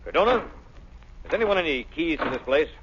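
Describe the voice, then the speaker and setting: An actor playing an irritable police commissioner in a 1930s radio drama, brusque and authoritative, with an American accent. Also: male voice, a little high, animated, fairly quick, background noise, natural voice. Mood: brisk, official. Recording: dull vintage 1930s radio recording.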